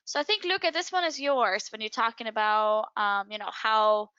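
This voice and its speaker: Woman, Swedish accent, career advisor, conversational, crisp voice, dry muffled audio. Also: on the high side, animated, normal speed, sharp tone, dry recording. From Sweden. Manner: friendly, conversational with frequent ums. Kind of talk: webinar presentation.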